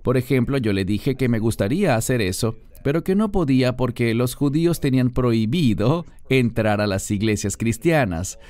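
Animated man telling his story. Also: medium pitch, moderate pace, few pauses, dry recording. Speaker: interview guest.

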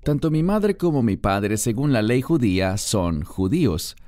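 A man's voice: medium pitch, big pitch swings, unhurried, warm tone, dry recording. A man being interviewed as a guest, telling his personal story in an earnest, storytelling way, heard as a Spanish voice-over.

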